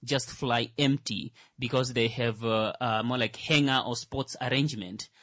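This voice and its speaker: A man's voice: mid-range voice, fairly even intonation, normal speed, a bit bright, no echo. Hesitant man, lots of "uh", dry mic. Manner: hesitant, full of "uh" and restarts. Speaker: talk show co-host. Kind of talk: casual panel discussion.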